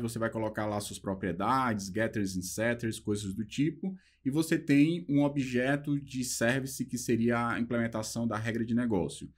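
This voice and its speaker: Brazilian man teaching programming, easygoing. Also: medium pitch. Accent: Brazilian Portuguese. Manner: talks loosely with fillers, slows down reading English passages. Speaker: programming instructor. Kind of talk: casual explanatory lesson, reading and translating a book.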